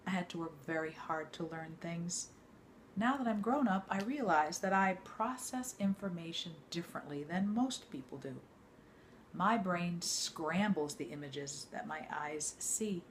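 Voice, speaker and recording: A woman with a notably low voice reads an autobiography aloud. Her delivery is measured and expressive, like a teacher telling a story to a class. There is faint noise behind her voice. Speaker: teacher reading aloud to students.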